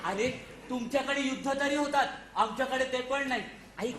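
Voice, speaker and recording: A man's voice: very high-pitched, normal speed. An actor playing a naive, stubborn young male enemy soldier held captive in a stage comedy. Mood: plaintive. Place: theatre stage. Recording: echoey, noisy stage recording.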